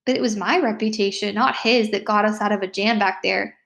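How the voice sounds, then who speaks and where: A woman reading a children's novel aloud as an expressive first-person storyteller. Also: mid-range voice, brisk, creaky. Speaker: read-aloud narrator.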